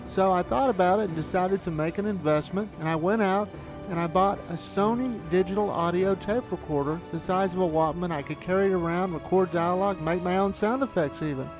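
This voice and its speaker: High-pitched male narrator for a personal documentary look back, faint music underneath. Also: a little fast, rough recording. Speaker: amateur audio drama producer and narrator. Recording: music bed behind the voice.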